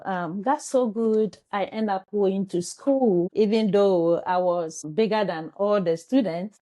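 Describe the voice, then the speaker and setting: A woman with a Ghanaian accent tells her own story as an interview guest. She talks slowly and earnestly, with a warm, mellow tone. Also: mid-range voice. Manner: earnest, grateful, slow and halting.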